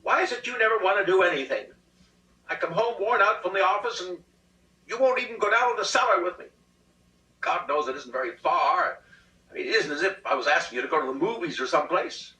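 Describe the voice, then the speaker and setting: A man's voice: sits high, lively intonation, brisk, bright, forceful tone, pitched above the performer's own voice. A man playing a peevish, childishly insistent husband in a comic short-story reading, pleading and exasperated with heavy emphasis. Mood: whiny, exasperated. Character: peevish, childishly insistent middle-class husband plotting murder. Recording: roomy, distant rehearsal recording.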